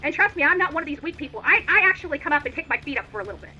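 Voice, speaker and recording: A woman's voice: high voice, lively intonation, racing, bright, forceful tone, highs cut off, faint music behind. A woman livestream host delivering an indignant, opinionated rant in a combative, emphatic and repetitive manner.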